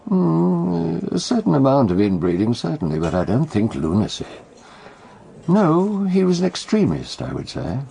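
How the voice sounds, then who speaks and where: An actor playing a wry, erudite older British gentleman in a radio detective drama, speaking in a measured way. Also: mid-range voice, wildly swooping pitch, vocal fry, husky, full character voice. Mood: wry, reflective.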